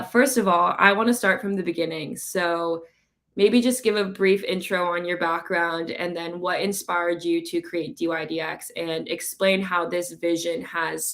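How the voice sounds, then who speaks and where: A woman's voice: on the low side, a bit bright. A young adult woman from a company's marketing team hosts a live interview, chatty and bubbly and a little under the weather. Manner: bubbly, chatty, laughs often. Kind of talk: casual chat and hosted interview.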